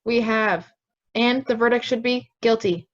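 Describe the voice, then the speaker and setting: Woman acting stubborn female juror, curt, slightly high, lo-fi sound, amateur radio play. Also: measured pace, lightly acted. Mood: dismissive. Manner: curt, dismissive. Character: stubborn, impatient juror sure of guilt. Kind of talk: amateur audio drama acting.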